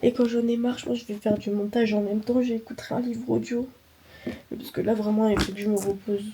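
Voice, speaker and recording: A young woman with a cold chats to her vlog camera, her voice slightly high and flat in tone. She speaks softly and sometimes slips into a whisper. The camera sits a bit far off, with faint background noise. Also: fairly quick. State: sick with a cold and sore throat. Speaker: vlogger. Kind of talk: casual vlog talk to camera.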